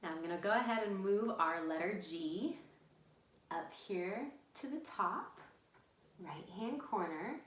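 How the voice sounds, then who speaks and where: A woman hosts storytime for young children in a room with noticeable echo. She speaks at a slow, easy pace in a middle-range voice. She addresses the children directly with simple, encouraging instructions. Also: medium pitch, animated. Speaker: children's library storytime host. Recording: echoey room sound.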